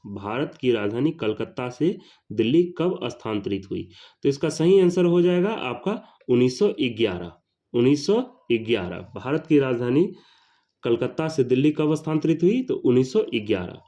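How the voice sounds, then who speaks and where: A man teaching history for an exam. He reads each question, then repeats it and its answer, and he calls out each question number higher and louder. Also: very animated, moderate pace.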